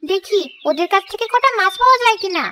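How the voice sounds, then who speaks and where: Someone voicing a very high-pitched greedy female ghost. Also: expressive, fast talker, bright, forceful tone, full character voice. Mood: hungry, grumbling. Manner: gloating, menacing, talks to herself. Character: greedy scheming female river ghost, hungry for fish. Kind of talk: animated children's ghost story acting. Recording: music behind the voice.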